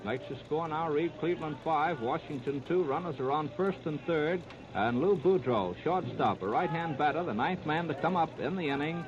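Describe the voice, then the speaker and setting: A man's voice: on the high side, normal speed. A man calling baseball play-by-play for 1930s radio, narrating in clipped, present-tense phrases. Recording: scratchy, noisy, muffled 1930s radio recording.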